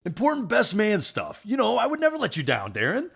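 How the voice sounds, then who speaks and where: A man's voice: sits high, wildly swooping pitch, fairly quick, creaky, fairly bright tone, slightly rough, full character voice. A man playing a goofy, irresponsible young best man in an audio drama. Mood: sheepish.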